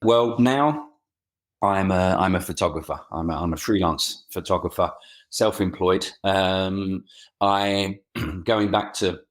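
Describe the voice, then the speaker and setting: A middle-aged man with an Essex twang and a very deep voice talks through his story as a guest on a remotely recorded interview. He stumbles and repeats words often and circles back to restart his sentences. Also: slow pace. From England. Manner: hesitant, frequent word repeats and restarts. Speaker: guest, freelance photographer. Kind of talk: casual interview chat.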